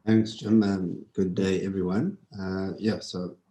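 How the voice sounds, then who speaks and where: Male fund partner on a call; low, level, mellow voice; steady and relaxed. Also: measured pace. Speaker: managing partner of a venture fund. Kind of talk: self-introduction on an online panel.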